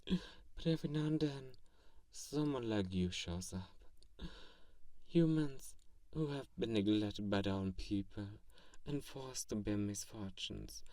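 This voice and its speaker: A man voicing a kindly, grandiose vampire who befriends a lonely human, speaking softly and earnestly in an intimate ASMR roleplay. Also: on the low side, takes it slowly, pauses often, dry recording, recorded from a distance. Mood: solemn. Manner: soft-spoken, earnest, grandiose, gently teasing. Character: kindly, theatrical, self-styled great male vampire befriending a lonely human.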